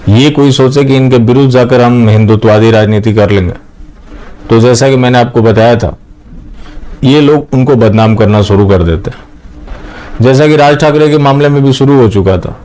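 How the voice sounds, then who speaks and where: A man's voice: mid-range voice, normal speed, warm tone. Man giving opinionated political commentary. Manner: opinionated, critical. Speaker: host of a political news commentary channel. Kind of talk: political commentary addressed to viewers.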